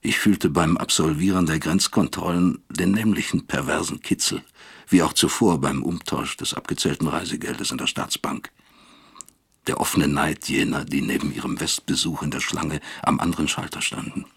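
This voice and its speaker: A bitter East German professor looks back on his guilt in a radio play. His voice is extremely low and husky. He speaks deliberately, as if accusing himself. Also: fairly even intonation, a bit bright, exaggerated voice. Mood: brooding, self-accusing. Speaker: first-person narrator of a radio drama. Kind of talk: confessional radio drama monologue.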